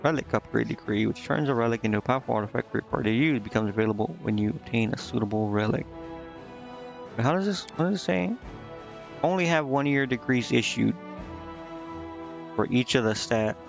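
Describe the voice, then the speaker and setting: A man streaming a game, casually reading on-screen text aloud and thinking out loud in a halting, puzzled mutter. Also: mid-range voice, expressive, creaky, lo-fi sound. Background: game music.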